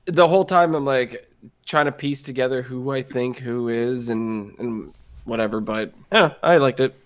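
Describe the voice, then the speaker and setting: A man's voice: medium pitch, normal speed. Rambling male podcast co-host. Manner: rambling, thinking aloud with fillers. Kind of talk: casual podcast chat.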